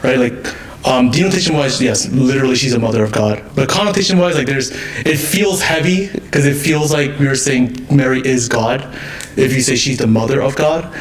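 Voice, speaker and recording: A man's voice: medium pitch, moderate pace, soft background music, low-quality recording. A man in his twenties, a worship leader on a panel, talking casually and peppering his points with fillers. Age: 20s. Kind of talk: panel discussion.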